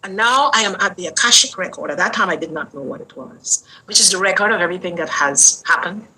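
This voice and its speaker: Bright-voiced older woman, earnest storyteller. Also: fairly low pitch, animated, low-quality recording. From Guyana. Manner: earnest, unhurried, lingering on words. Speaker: interview guest. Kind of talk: personal storytelling.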